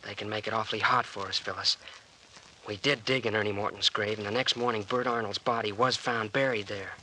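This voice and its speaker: A man's voice: fairly low pitch, fairly even intonation, brisk, bright, forceful tone, low-quality recording. An actor playing an earnest, protective young man in a 1940s radio drama, with boyish phrasing. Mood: worried. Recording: hissy old-time radio recording.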